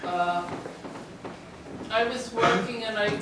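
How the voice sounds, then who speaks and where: A woman's voice: fairly high pitch, slow pace. A woman artist with a British accent chatting about her work to a gallery audience, telling stories with a few fillers. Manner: chatty, storytelling, occasional fillers. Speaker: exhibiting artist. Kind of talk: informal artist talk. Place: gallery room, speaking without microphone. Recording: echoey room from far off, noisy.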